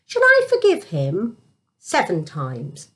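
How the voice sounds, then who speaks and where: Woman playing an earnest disciple man, questioning, animated swings in pitch, children's storybook. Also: takes it slowly, heavily acted. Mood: eager questioning.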